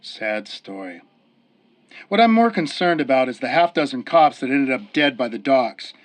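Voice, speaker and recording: A man's voice: mid-range voice, big pitch swings, moderate pace, lightly acted. A man playing a cynical hard-boiled cop in a 1930s-style radio drama, sarcastic, slangy and contemptuous. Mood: suspicious. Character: cynical, sneering hard-boiled male cop, quick to accuse. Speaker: police interrogator.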